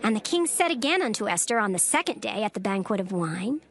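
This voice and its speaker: A woman narrating a dramatized scripture recording in a measured, solemn storytelling manner. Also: medium pitch, big pitch swings. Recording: narration over clear background music. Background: background music.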